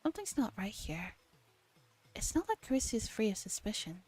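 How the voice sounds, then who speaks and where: A woman streamer gently reading a visual novel aloud in a soft ASMR style, relaxed and chatting casually, at times dropping to a whisper. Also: medium pitch, normal speed, husky. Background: background music.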